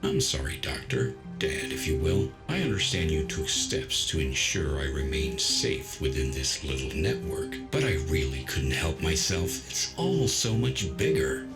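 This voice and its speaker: A man's voice: extremely low pitch, sing-song, a little slow, few pauses, over loud music, exaggerated voice. A man playing a genial, self-assured artificial intelligence in an audio drama, polite, chatty and matter-of-fact.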